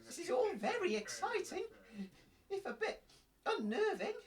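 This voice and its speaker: Woman acting a nervous naive reporter, very high bright voice, lively swoops, comic radio play, slightly distant. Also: a little slow, full character voice. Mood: nervously excited. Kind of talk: comic audio drama, hushed commentary to listeners.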